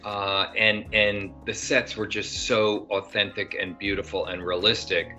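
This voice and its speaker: A man's voice: fairly low pitch, flat delivery, measured pace, pushed and bright. An older man, an actor guest, talking in a casual interview, rambling with many fillers and restarts. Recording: dull lo-fi sound with faint music.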